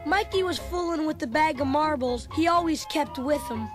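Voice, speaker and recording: Actor doing excitable little kid telling a read-along story, really high voice, dramatic and earnest. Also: normal speed, over music, close to an everyday voice. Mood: eager storytelling. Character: Chunk, excitable chubby young boy narrator.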